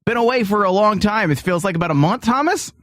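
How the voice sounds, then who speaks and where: Higher-voiced man hosting, dry studio sound. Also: lively intonation, fast, fairly bright tone, dry recording. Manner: blunt, self-deprecating, crude joking. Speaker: show host. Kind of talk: casual comedic radio chat. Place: radio studio.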